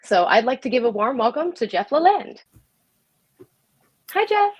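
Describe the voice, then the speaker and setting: A woman's voice: fairly high pitch, big pitch swings, moderate pace, husky. A woman speaking as the host of a livestream show, introducing and interviewing.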